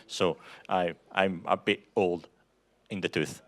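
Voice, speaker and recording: Low-voiced man. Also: level tone, slow. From Italy. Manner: polite, self-deprecating. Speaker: panelist, company owner.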